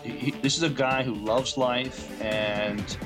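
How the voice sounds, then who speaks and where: Mid-pitched male podcast co-host. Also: fairly even intonation, slow, music in the background. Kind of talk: casual chat.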